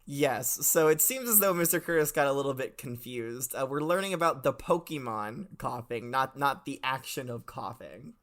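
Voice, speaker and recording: A man's voice: mid-range voice, expressive, moderate pace, hardly any pauses, a bit bright. A man hosting a comedy podcast in the role of a professor, mock-formal and laughing often. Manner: playful, mock-formal lecturing with frequent laughs. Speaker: podcast host playing a professor.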